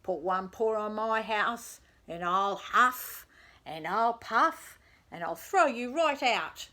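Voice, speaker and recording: A woman voicing a lazy, horrid little male pig from a storybook, rude and defiant, shouting threats. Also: on the high side, expressive, slow pace, exaggerated voice. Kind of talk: children's storybook character voice.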